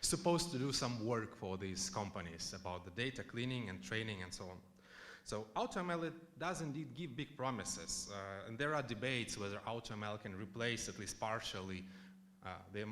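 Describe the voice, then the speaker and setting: A man's voice: on the low side, normal speed, fairly bright tone, slight background noise. A man from Ukraine giving a conference talk, chatty and loose, full of fillers and restarts. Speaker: conference speaker. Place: conference hall.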